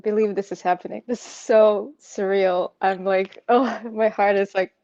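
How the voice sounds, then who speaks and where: Mellow, hesitant woman, native Russian speaker. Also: mid-range voice, fairly even intonation, lo-fi sound. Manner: hesitant, halting, frequent "you know". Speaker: podcast guest sharing personal insomnia story; pianist. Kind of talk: personal storytelling in conversation.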